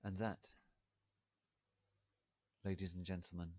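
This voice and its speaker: Slow, low-voiced man reading theatrically. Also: flat delivery, a little mellow, no echo. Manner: deliberate, mock-solemn, melodramatic emphasis. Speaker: narrator reading fan fiction aloud.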